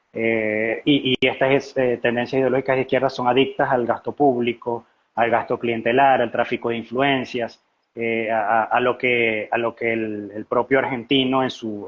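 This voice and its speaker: A man with a Venezuelan accent giving conversational economic commentary as a guest analyst, talkative and explanatory, often posing rhetorical questions. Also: mid-range voice. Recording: dull, lo-fi live stream audio.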